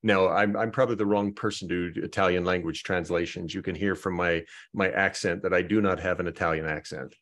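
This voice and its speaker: A man hosting an online project meeting, talking through updates in a halting way and often repeating words as he thinks. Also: low-pitched, steady tone, fairly bright tone. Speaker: meeting host.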